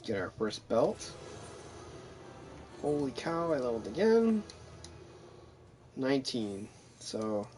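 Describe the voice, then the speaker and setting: A man's voice: medium pitch, big pitch swings, takes it slowly, pauses often, darkish tone, a little hiss or hum. A man giving casual, wry, mildly sarcastic commentary as he plays through a game. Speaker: gamer narrating own playthrough.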